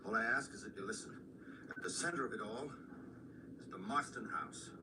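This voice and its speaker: An actor playing an earnest schoolteacher in a radio drama, speaking in a terse, probing way. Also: male voice, fairly low pitch, a little fast, close to an everyday voice. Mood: urgent, earnest. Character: earnest convalescent male schoolteacher seeking help against vampires. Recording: distant, noisy replay of radio drama.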